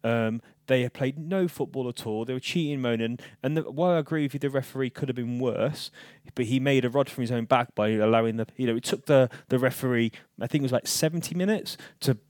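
Male football podcaster, earnest, halting with ums, dry close recording. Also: medium pitch, a little mellow, no room sound. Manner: hesitant, many "um" fillers, earnest. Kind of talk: casual football chat.

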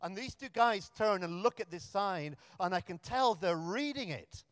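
A man's voice: high-pitched, animated, moderate pace, no room sound, big-room sound. A man in his thirties from Africa, a pioneer minister giving a sermon, chatty and self-deprecating with frequent restarts. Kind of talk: sermon with storytelling. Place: church.

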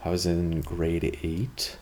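A man's voice: sits low, monotone, slow pace, a little mellow, a little hiss or hum. A man in his thirties from Canada, hosting a podcast in a casual, rambling monologue full of fillers and asides. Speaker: podcast host.

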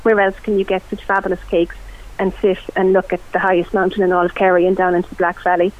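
A woman's voice: on the low side, level tone, fast. Chatty Irish woman on a phone line. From Ireland. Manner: chatty and enthusiastic. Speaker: food reporter. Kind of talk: radio food report.

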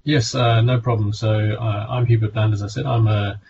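A man with a South African accent answers from his countryside home over a video call. His voice sits low and he moves carefully, often hesitating and restarting. The audio is dull and lo-fi, with a faint hum in the room. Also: fairly even intonation, gravelly, darkish tone. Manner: measured, many hesitations and restarts. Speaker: pharma medical director guest. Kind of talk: remote interview answers.

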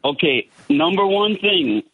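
A man's voice: high-pitched, sing-song, unhurried, slightly rough. Older male caller on a tinny line. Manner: friendly, advising, rambling. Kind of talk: phone-in chat with host.

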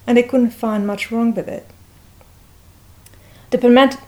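A middle-aged woman from Bosnia, her first languages Serbian and Croatian, reading a prepared personal story in a measured way with occasional hesitations. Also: medium pitch, darkish tone. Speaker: senior lecturer.

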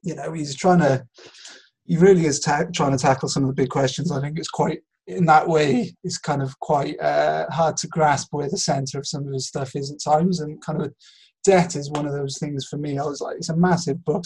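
A man with a fairly high voice that is husky and full of fry. He talks casually about ideas, feeling his way through explanations with lots of hedging and loose turns. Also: steady tone, brisk, a little mellow. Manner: hesitant, rambling explanation with hedging. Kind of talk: casual intellectual discussion.

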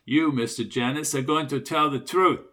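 A man voicing a stern, coldly polite older German interrogator in an audio story, formal, measured and quietly threatening. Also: medium pitch, lightly acted.

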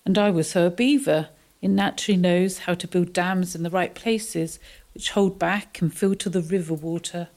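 A woman acts a concerned townswoman in a scripted radio drama. Her voice is a little on the low side. She speaks earnestly and deliberately. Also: fairly even intonation, a little mellow, close to an everyday voice. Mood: resolute. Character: concerned townswoman sewing a river tapestry. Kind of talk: scripted community radio play.

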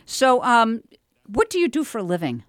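Female local radio host, informal interviewer, gushing and encouraging, slightly high voice, very dry studio sound. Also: big pitch swings, normal speed, no echo. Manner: effusive, exclaiming, encouraging. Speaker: radio talk show host.

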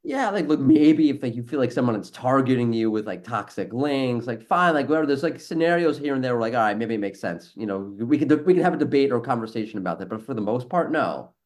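A man's voice: medium pitch, expressive, quick pace, few pauses, darkish tone. A man hosting a casual podcast, talking in a blunt, sarcastic and mildly profane way. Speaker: podcast host, SEO professional and former teacher.

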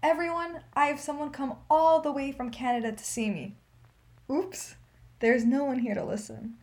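Actress as high-voiced, wry elderly woman. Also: normal speed, darkish tone, natural voice. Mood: welcoming, scattered. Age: older. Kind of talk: radio play acting.

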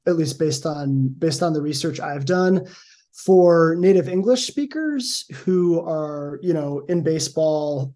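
Middle-aged male academic guest, mellow tone, hedging and thoughtful. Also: fairly high pitch. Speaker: guest, linguistics professor. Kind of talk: conversational interview answers.

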